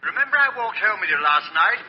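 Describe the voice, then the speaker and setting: Inspector on the phone: British, bluff, informal, high pitch, dull vintage radio sound. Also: male voice, sing-song. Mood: brisk, businesslike. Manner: brisk, informal. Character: bluff British police inspector telephoning urgent news. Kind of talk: 1950s radio drama acting. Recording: muffled, echoey phone voice, 1950s radio.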